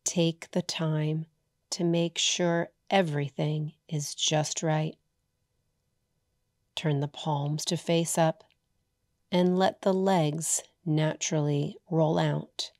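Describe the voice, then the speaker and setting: A woman leading a guided meditation as a yoga nidra teacher, soothing and hushed, leaving long pauses between short phrases. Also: sits low, flat delivery, dry recording, studio quality.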